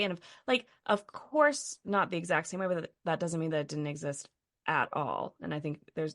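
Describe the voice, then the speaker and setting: A woman's voice: low-pitched, fairly bright tone. A woman co-hosting a podcast, talking things through in a thoughtful, casual way with frequent fillers. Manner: thoughtful, thinks aloud with fillers. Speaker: podcast co-host.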